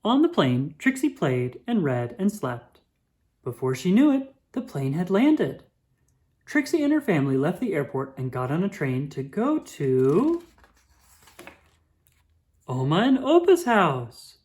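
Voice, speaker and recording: Low-voiced woman reading a picture book, very animated. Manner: animated, dramatic emphasis with drawn-out words. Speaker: children's book reader. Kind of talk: expressive picture-book read-aloud.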